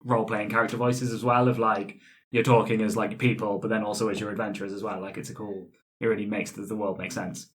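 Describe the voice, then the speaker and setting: A man's voice: lowish voice, little pitch movement, fast. A man who plays tabletop games, chatting casually and informally in a post-game discussion.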